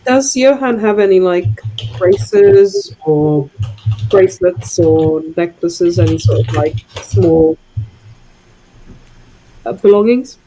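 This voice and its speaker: A woman's voice: medium pitch, big pitch swings, takes it slowly, creaky, long pauses, warm tone, a little hiss or hum, low-quality recording. A woman improvising a story as a player in a tabletop roleplaying show, speaking tentatively.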